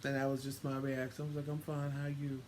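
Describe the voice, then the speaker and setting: A man with a mellow, relaxed tone recounts a story conversationally. His delivery is dry and sassy, as if unimpressed, and he voices quoted remarks as he goes. Some room noise is audible behind him. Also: mid-range voice, fast talker. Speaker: co-host telling a couple's story. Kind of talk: casual storytelling with acted-out quotes. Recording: noisy room ambience.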